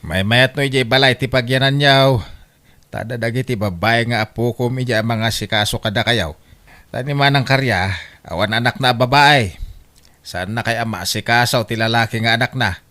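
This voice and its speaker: A man's voice: mid-range voice, moderate pace, pushed and bright, close to an everyday voice. A man playing a grumbling, competitive middle-aged youngest son in an Ilocano comedy radio drama. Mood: persuasive.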